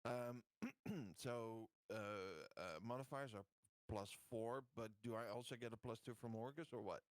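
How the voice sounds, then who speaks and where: A man's voice: medium pitch, a little slow, dry recording. A man chatting casually as a player in a tabletop game, asking about rolls and moves. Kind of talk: casual chat during a game.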